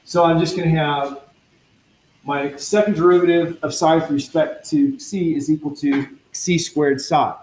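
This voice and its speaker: A man's voice: a little high, fairly even intonation, unhurried, a little mellow, a little hiss or hum, low-quality recording. A man teaching a university physics lecture, working through equations step by step, correcting himself and tossing casual asides to his students. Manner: thinks aloud, self-correcting, chatty asides to students. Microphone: lecture recording.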